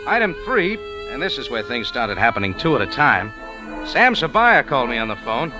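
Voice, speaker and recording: A man's voice: medium pitch, very animated, moderate pace, lightly acted. An old radio drama with music behind and a man playing a hard-boiled American narrator. He is wry and clipped, and his mid-range voice moves up and down with a lot of swing. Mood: dry, recounting. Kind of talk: 1940s radio detective drama acting and narration.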